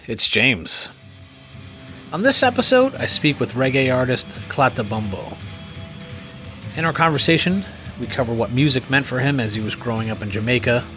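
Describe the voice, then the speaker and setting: A man hosting a music podcast, reading his introduction and then interviewing a guest with short, friendly encouragements. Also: mid-range voice. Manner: friendly, brief encouraging backchannels. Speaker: podcast host.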